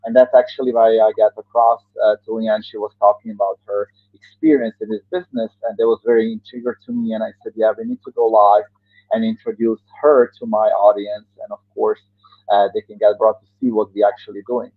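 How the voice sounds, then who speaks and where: A man's voice: on the low side, little pitch movement, brisk, no room sound. Croatian guy hosting a video call interview, lots of uhs, tinny phone-ish sound, dry. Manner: hesitant, many uh fillers, non-native grammar. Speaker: interview host.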